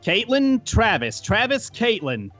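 A whiny dramatic young dude in a cartoon, very high and swoopy, music behind. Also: male voice, big pitch swings, a little slow, a bit bright, lo-fi sound, heavily acted. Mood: mock cool.

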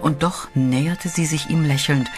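A man reading scripted horror narration in a measured, dramatic way. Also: fairly high pitch, fairly even intonation. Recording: music bed under voice.

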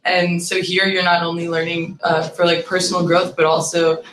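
A woman's voice: lowish voice, little pitch movement, normal speed, a bit bright. A young woman from the United States, a university student intern, talking earnestly with many fillers. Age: 20s. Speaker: videography intern. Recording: echoey room, faint ambience.

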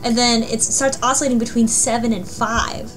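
A woman with a fairly high voice chats casually as a co-host. She retells things with animation, in loose everyday phrasing. Music plays clearly behind her. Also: fairly even intonation, normal speed. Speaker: podcast co-host. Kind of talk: casual book club discussion.

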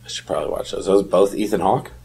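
A man co-hosting a podcast in casual chat, with a slightly husky voice and a questioning, conversational way of talking. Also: low voice, fast talker, darkish tone. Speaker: podcast co-host.

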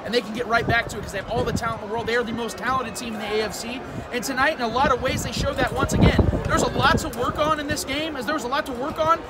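A man giving opinionated postgame fan analysis outdoors in a stadium at night, emphatic and forceful. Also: extremely high pitch, steady tone, fast talker, hardly any pauses. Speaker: guest podcaster. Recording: loud outdoor stadium noise.

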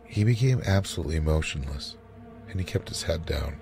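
A man narrating a scripted story in a measured, dramatic manner. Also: a bass voice, animated, creaky, darkish tone, soft background music.

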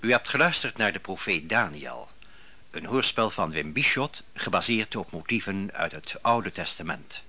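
A man's voice: deep voice, animated, normal speed, bright, forceful tone, a little hiss or hum. A man reading cast credits as a formal radio announcer.

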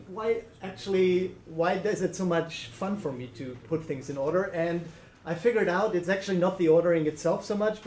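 A man with a German accent gives a conference presentation in a lecture room. His voice sits a little high, and the echoey room adds reverb. He talks in a chatty way, full of fillers and little laughs. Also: a little mellow, a little hiss or hum. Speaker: conference speaker. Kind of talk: presentation talk.

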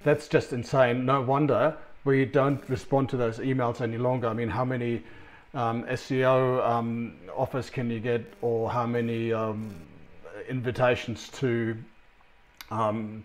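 A man's voice: medium pitch, steady tone, unhurried. A middle-aged man with an Australian accent presenting a recorded conference talk from his office, chatty and full of ums and restarts. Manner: conversational, many ums and restarts. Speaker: sales consultant presenter.

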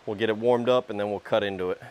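A young man hosting a casual workshop vlog, talking in a relaxed way as he works at a sawmill under an open-sided shed. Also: fairly low pitch, quick pace, noisy background. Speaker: sawmill channel host.

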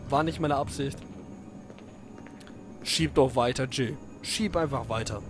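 A man giving casual, jokey commentary while playing a video game, talking to the characters on screen. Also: on the high side. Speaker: let's play commentator. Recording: game music behind the voice. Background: game music.

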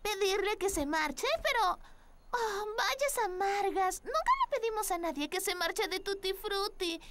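A woman's voice: very high voice, animated, measured pace. A woman voicing a friendly, earnest little girl in a cartoon. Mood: concerned. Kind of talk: animated cartoon voice acting.

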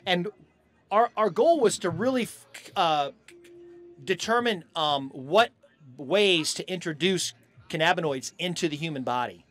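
Halting middle-aged American man, slightly high voice. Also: lively intonation, a bit bright. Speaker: hemp farm owner being interviewed. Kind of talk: casual interview. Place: outdoors on a festival lawn. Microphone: handheld microphone.

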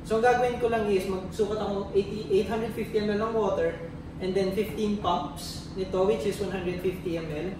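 A man's voice: high voice, fairly even intonation, fairly quick. Distant, very echoey Filipino man demonstrating. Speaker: drink demonstrator. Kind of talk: casual step-by-step demonstration in mixed Tagalog and English. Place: small tiled demo kitchen, indoors. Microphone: distant camera microphone. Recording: echoey room, distant mic, background noise.

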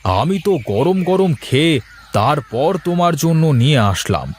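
A man playing a simple, good-natured village pumpkin seller in a cartoon, with folksy drawn-out exclamations. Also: on the high side, sing-song, breathy edge, exaggerated voice. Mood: defensive. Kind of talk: cartoon moral-story voice acting.

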